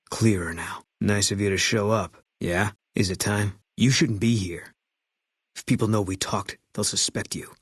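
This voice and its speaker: A bitter, grieving ex-soldier sniper from a video game, who talks in short, clipped, grim lines. His voice is very low and husky, and it sits close and dry with no room sound around it. He stays guarded and curt, a middle-aged man who gives little away. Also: dry recording.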